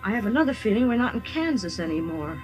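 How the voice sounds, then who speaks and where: A woman playing an earnest, trusting, excitable Kansas farm girl in a 1950s radio drama, with wide-eyed exclamations and hesitations. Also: medium pitch, quick pace, close to an everyday voice. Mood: awed. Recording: vintage radio broadcast with orchestral music.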